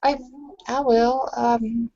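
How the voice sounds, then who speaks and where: A woman playing a shy, embarrassed woman in a tabletop roleplay, speaking haltingly, with hesitant pauses. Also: fairly high pitch, flat delivery, mellow, lo-fi sound. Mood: sad, hesitant. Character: shy, embarrassed woman saying goodbye to friends.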